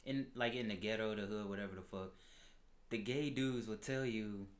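A man as a podcast guest chatting casually, relaxed and hesitant, with many fillers and laughs. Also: on the low side, moderate pace.

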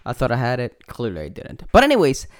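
A man's voice: medium pitch, huge pitch swings, fast. A young man with a Puerto Rican accent hosting a casual podcast, rambling and profane, with many fillers. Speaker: podcast host.

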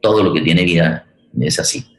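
A man with a Colombian accent talking conversationally about his views as a forum host, halting and repeating himself as he searches for words. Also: deep voice. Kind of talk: conversational political and cultural discussion. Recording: echoey room sound.

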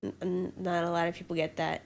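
A woman's voice: fairly low pitch, little pitch movement, brisk, gravelly, slight background noise. A young woman co-hosting a casual podcast chat, sniffly from allergies, blunt and swearing freely. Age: young adult. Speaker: podcast co-host.

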